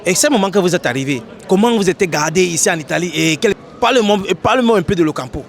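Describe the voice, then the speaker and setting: A quick-talking man with a fairly high voice hosts a street interview outdoors. He fires off questions, loops back on them and keeps checking that his guest agrees, with city traffic around him. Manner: animated, repeats questions with "n'est-ce pas?". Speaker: host, interviewer.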